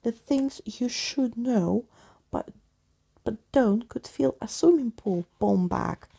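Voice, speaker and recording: A woman's voice: a little high, slow pace, gravelly, mellow, breathy edge, exaggerated voice. A woman voicing a bossy, mischievous young girl in a storybook, snappy and scornful with a short temper.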